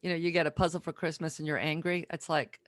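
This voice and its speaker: A woman's voice: deep voice, level tone, fast talker, no echo, studio quality. A middle-aged woman from the United States hosting a podcast in a casual, conversational interview style. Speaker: podcast host.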